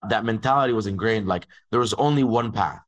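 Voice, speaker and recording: A young man from Dubai, telling his agency story in a fast, casual way full of "like"s. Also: fairly low pitch, fairly bright tone, dull audio. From born in Egypt, grew up in Dubai. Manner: fast, filler-heavy talk with many "like"s, acts out dialogue. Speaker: agency founder, guest.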